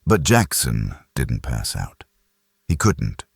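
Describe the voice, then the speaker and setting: A man's voice: very deep voice, very animated, slow pace, slightly rough, no room sound, clean studio sound. A man reading dramatic science fiction as an audiobook narrator, with grave, intense storytelling delivery. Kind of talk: dramatic scripted sci-fi narration.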